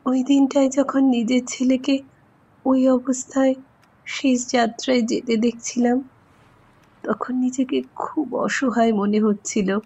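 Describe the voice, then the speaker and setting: A woman acts out an elderly mother worn by grief, gentle and tender. Her voice is creaky and husky, and she speaks slowly in a halting way. It is an audio drama performance with a slightly dull sound. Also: sits high, little pitch movement, exaggerated voice. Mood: grateful, sorrowful. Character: sorrowful elderly widowed mother, grateful and gentle grandmother. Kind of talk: Bengali audio story acting.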